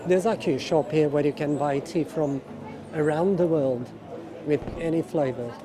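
A man's voice: fairly high pitch, moderate pace. A middle-aged man giving casual walking tour commentary in an enthusiastic way, often exclaiming and repeating his phrases. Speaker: vlogger and walking tour guide. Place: busy outdoor street market. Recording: outdoor crowd noise, background music. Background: music and crowd chatter.

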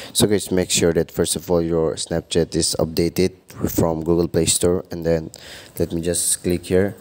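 A man's voice: deep voice, mellow. A man hosting a step-by-step phone app tutorial, narrating as a channel host.

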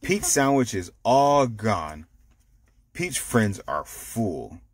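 A man reading a picture book aloud to children, explaining it simply with animated asides. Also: fairly low pitch, extremely slow, husky, no room sound. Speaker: storytime reader.

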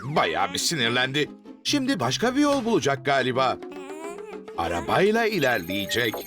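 A narrator telling a children's cartoon, with many exclamations and quick jumps into the characters' lines. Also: mid-range voice, huge pitch swings. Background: cartoon music.

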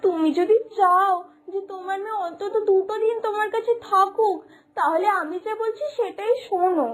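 A woman's voice: very high voice, moderate pace. A woman playing a bossy, scolding village neighbour in a cartoon, nagging and know-it-all. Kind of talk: cartoon story acting.

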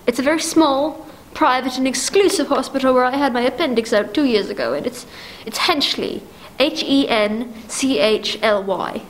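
A woman's voice: high-pitched, expressive, moderate pace. A young woman playing a frantic, demanding invalid wife in a 1940s-style radio play, stammering and repeating herself as she pleads. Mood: nervous, demanding.

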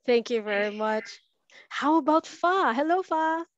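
A woman's voice: high voice, expressive, unhurried, no echo. A woman from the Philippines hosting an online language webinar over a video call, upbeat and welcoming. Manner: upbeat, welcoming, scripted-sounding. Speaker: host. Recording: muffled video call audio.

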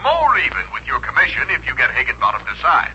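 Flustered, sharp-voiced office manager. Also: male voice, mid-range voice, wildly swooping pitch, fast, husky, full character voice. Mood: eager, flustered. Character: flustered, eager, persuasive male personnel manager. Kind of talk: 1950s American radio comedy acting. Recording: muffled, noisy vintage radio recording.

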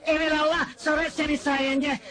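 An actor playing a naive, put-upon young worker in a comedy film, speaking in a simple, plaintive, deferential way. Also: male voice, very high voice, level tone, normal speed, sharp tone. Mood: meek protest. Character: naive, put-upon young male hired goalkeeper, meekly protesting. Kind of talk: comic film dialogue.

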